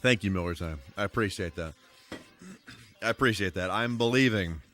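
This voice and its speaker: Bright-voiced man chatting casually on stream. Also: on the low side, normal speed. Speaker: live streamer. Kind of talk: casual live game-stream chat. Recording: music playing behind the voice. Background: music.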